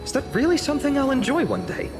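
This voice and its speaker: A man's voice: very high voice, very animated, fast talker. A man playing an earnest, doubtful young village hero in a fantasy video game, with a hesitant, musing delivery. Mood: doubtful, startled. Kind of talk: English video game voice acting. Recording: long hall reverb under music.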